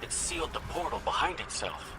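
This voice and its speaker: Lively, fretful little robot. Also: male voice, on the high side, a little fast. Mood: worried. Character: small excitable robot sidekick, fretful and polite, male. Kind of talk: video game cutscene acting. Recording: clear background noise and ambience.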